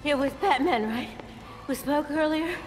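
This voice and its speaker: A woman's voice: high-pitched, a little slow. A woman playing a shaken, grateful scientist in a video game cutscene. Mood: grateful relief. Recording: music behind the voice.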